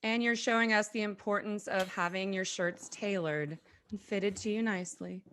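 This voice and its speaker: A woman's voice: mid-range voice, fairly even intonation, moderate pace, a bit bright. A woman co-hosting a talk show in casual banter, wry and teasing with playfully wordy phrasing.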